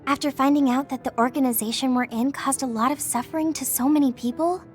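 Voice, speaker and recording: A woman's voice: high voice, fairly even intonation, fast talker, faint music behind, natural voice. A woman voicing an earnest, self-doubting teenage girl soldier in an anime-styled video game, hesitant and sincere. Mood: downcast.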